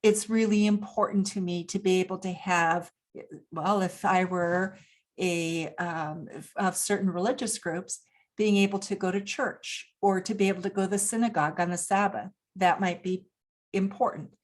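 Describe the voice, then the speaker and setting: A woman from Japan speaking as an expert guest, explaining points deliberately and emphatically, posing questions and answering them. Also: medium pitch, steady tone. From Japan, grew up there. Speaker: interview guest, business expert. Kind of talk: explanatory interview talk.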